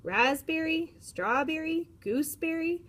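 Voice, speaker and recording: A woman's voice: very high voice, expressive, measured pace, fairly bright tone, pitched above the performer's own voice, full character voice. A woman voicing a stubborn, curious young girl in a storybook, singsong and matter-of-fact. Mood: singsong, playful.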